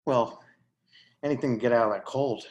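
A woman's voice: rumbling low, moderate pace, a little mellow. A woman playing a polite, flustered and chatty newcomer in a stage play reading, a little out of breath. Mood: polite, eager. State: out of breath, acted. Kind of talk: readers theatre play reading.

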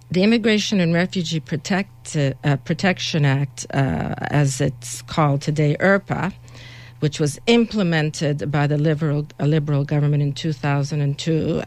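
A woman's voice: deep voice, a little mellow, no room sound. A middle-aged woman, a lawyer speaking as a guest on a radio panel, earnest and emphatic with some restarts. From Canada.

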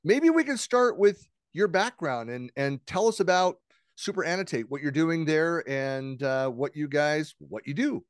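A man's voice: fairly high pitch, expressive, measured pace, clear, resonant tone, no room sound, studio quality. A male podcast host who talks through his questions in a chatty, rambling way with many restarts. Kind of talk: podcast interview, conversational.

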